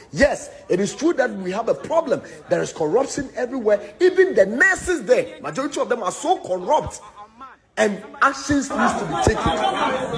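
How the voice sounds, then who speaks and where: A man with a Ghanaian accent making an indignant, emphatic spoken complaint, halting and repeating words as he goes. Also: sits high. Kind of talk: impassioned spoken complaint to camera.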